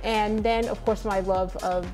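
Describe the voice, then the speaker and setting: A woman's voice: medium pitch, steady tone, unhurried, music in the background. A woman in her sixties, an author talking as a guest in an interview, earnest and emphatic. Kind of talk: conversational interview.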